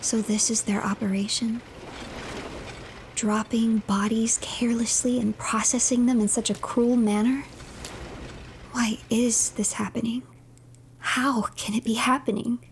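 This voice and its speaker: A woman narrating a game's story in a measured, dramatic way, often breathy and hushed. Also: on the high side, flat delivery, a little hiss or hum. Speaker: streamer narrating a game.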